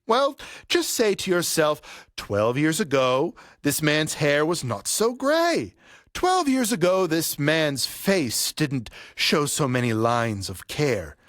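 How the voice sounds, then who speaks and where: Audio drama barber: coolly threatening, excessively polite, highly animated intonation, slightly high voice. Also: male voice, no room sound, clean studio sound, full character voice. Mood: grim, knowing. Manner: servile politeness laced with menace, deliberate. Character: vengeful graying male barber, mock-polite, coolly menacing. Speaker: actor. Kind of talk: audio play acting.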